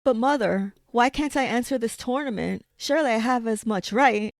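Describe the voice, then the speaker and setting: A woman's voice: a little high, normal speed, close to an everyday voice. A woman playing an earnest, headstrong young Amazon princess in 1940s radio serial style, pleading in manner, with a distant reverberant sound.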